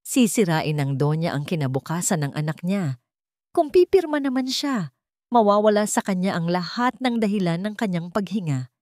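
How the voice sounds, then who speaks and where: Woman, low voice, mellow tone, dramatic scripted narration, dry sound. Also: animated, normal speed, no echo. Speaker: storyteller.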